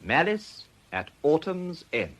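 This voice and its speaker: A man's voice: on the high side, big pitch swings, slow pace, a bit bright. A man formally introducing a radio play as its announcer.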